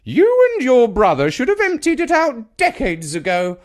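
A man's voice: extremely high pitch, wildly swooping pitch, moderate pace, pitched above the performer's own voice, full character voice. A man voicing a haughty, snappish elderly English grandmother in a children's storybook, scolding with indignant emphasis. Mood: snappish, bossy.

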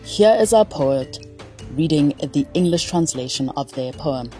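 A woman with a low voice reads scripted podcast introductions in a clear announcing manner. Faint music plays underneath her. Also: expressive, unhurried, dark tone. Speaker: podcast host. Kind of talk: scripted narration.

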